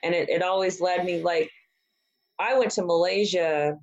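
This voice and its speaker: A woman's voice: on the low side, steady tone, moderate pace. Chatty fifty-year-old woman, lo-fi sound. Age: 50s. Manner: chatty, self-deprecating, laughs often. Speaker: guest, former ship captain. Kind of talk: casual interview chat.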